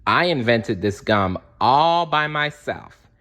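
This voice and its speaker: A man's voice: mid-range voice, lively intonation, takes it slowly, a bit bright. A man voicing a boastful mad scientist in a storybook, announcing grandly like a showman. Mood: boastful showmanship. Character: boastful, booming mad gum scientist, male.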